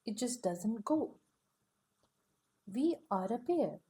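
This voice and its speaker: A woman gently reading a bedtime picture book aloud, soft and soothing with a sing-song rhythm. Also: on the high side, very animated, slow pace. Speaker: storyteller.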